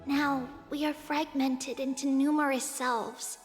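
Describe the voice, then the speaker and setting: Game role: small girl, very high and unhurried, soft, earnest, solemn. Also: little pitch movement. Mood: solemn resignation. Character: small childlike girl, gentle, solemn, wise beyond her years. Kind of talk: video game voice acting. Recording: long reverb, faint music behind.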